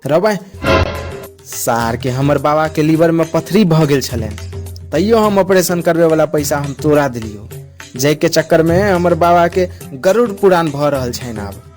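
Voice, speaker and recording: A man's voice: fairly high pitch, expressive, a little slow. A man voicing a melodramatic, scolding and sarcastic young creditor demanding his money in a comic cartoon. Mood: melodramatic complaint. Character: blustering, melodramatic young male moneylender friend. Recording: clear music behind the voice.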